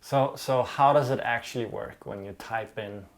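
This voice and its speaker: A man's voice: mid-range voice, normal speed. A man hosting a podcast interview, asking casual, curious questions and following up on what his guest says. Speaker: podcast host.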